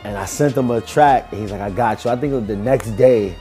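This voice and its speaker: A man's voice: mid-range voice, normal speed, soft background music. A young man, a rapper telling loose, rambling stories in a casual interview. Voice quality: smooth. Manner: loose, rambling, animated storytelling.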